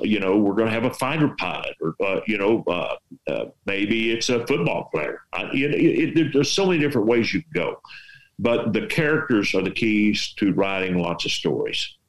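A man's voice: on the low side, poor audio. A man from West Texas with a Texas accent, giving folksy, rambling storytelling advice full of rhetorical questions. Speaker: veteran storyteller and artist giving writing advice. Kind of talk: casual advice chat.

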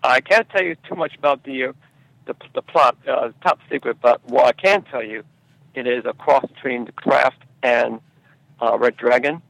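A man answering as a screenwriter guest in a conversational interview, speaking haltingly and repeating his words and phrases. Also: mid-range voice, creaky, fairly bright tone, no room sound, low-quality recording.

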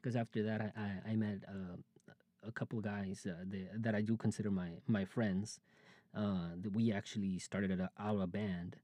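Low, dark-voiced young man confiding. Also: steady tone, normal speed, no room sound. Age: 20s. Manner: rambling, confessional, many fillers and restarts. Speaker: podcast host. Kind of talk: casual personal monologue.

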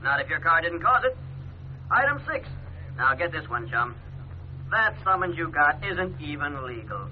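An actor playing a cocky, pompous know-it-all friend who talks breezy slang, in 1950s radio drama style. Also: male voice, on the high side, very animated, moderate pace. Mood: smug, breezy. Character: cocky, glib know-it-all pal playing amateur lawyer. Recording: tinny, noisy 1950s radio recording.

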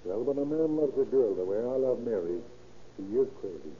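A man's voice: mid-range voice, lively intonation, fairly quick, dark tone, close to an everyday voice. An actor playing an earnest, self-justifying married businessman in love, urgent and insistent, in 1930s radio style. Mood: resolute. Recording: muffled, hissy old radio recording.

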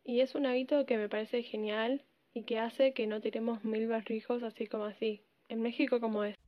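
Even-toned teenage Argentine girl. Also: on the high side, normal speed, no room sound, dull audio. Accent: Argentine Spanish. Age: teens, secondary school student. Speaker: podcast co-host. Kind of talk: conversational opinion podcast.

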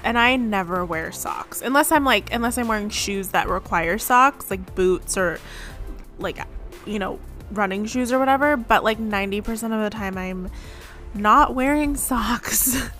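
A woman hosting her own podcast, rambling casually with lots of "like" and quick laughs, her voice a little strained. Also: a little high, sharp tone. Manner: rambling, chatty, frequent "like" and laughs. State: slightly strained voice. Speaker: podcast host. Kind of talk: casual solo podcast chat. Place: home, seated.

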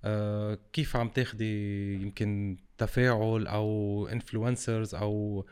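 A man with a Lebanese accent hosting a podcast, asking quick, halting questions. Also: lowish voice, flat delivery, darkish tone, clear, resonant tone. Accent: Lebanese Arabic. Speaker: podcast host. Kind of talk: casual interview questions.

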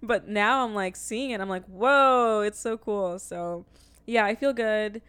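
A woman co-hosts a casual podcast in a smooth, clear voice. She talks directly, teases her co-host and reflects openly. The sound is dry and close. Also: mid-range voice, a little slow, a bit bright, dry recording. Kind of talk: casual chat.

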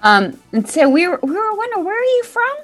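A middle-aged woman hosting a podcast interview, eager and chatty with quick interjections. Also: extremely high pitch, big pitch swings, fairly bright tone, soft background music. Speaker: podcast host, modeling scout.

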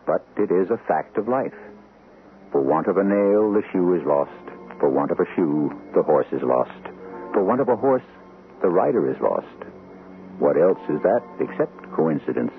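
A male host narrates a vintage radio mystery. His voice is very deep and gravelly, with theatrical, sweeping intonation. The broadcast sound is narrow and old. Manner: theatrical, portentous.

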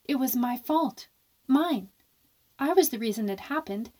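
A woman performs a young roommate in a horror radio play, with a fairly high voice. She is defiant and wracked with guilt, delivering her words with theatrical emphasis. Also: lively intonation, measured pace, warm tone. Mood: anguished guilt. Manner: theatrical, emphatic, repeats phrases. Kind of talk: radio drama horror acting.

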